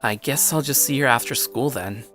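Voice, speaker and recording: A man plays an earnest teenage schoolboy telling his own story in an anime-style audio drama. His pitch is middling and his delivery is conversational and introspective, with an anxious streak. Music plays clearly behind him. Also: normal speed, a bit bright, close to an everyday voice. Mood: resigned, reflective. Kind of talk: anime-style audio story, first-person scripted narration.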